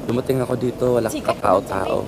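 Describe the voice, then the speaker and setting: A man's voice: medium pitch, steady tone, fairly quick. A Filipino man chatting casually and informally as a travel vlogger, switching between two languages. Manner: informal, mixes Tagalog and English. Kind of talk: casual vlog chat. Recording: very noisy handheld travel recording, ambient din.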